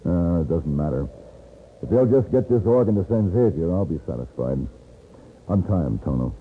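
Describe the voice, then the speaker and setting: An actor playing a level-headed, courteous western gunfighter in an old-time radio drama, measured and dryly wry. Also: male voice, sits low, lively intonation. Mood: placating, firm. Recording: noisy, narrow-band old radio recording.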